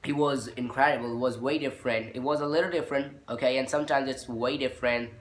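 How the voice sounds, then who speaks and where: A man's voice: medium pitch, fairly even intonation, fast talker, slight background noise. A man who speaks English as a second language, giving an informal spoken lesson as a teacher, explaining and repeating his points with frequent check-in tags. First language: non-native English speaker. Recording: echoey, roomy sound.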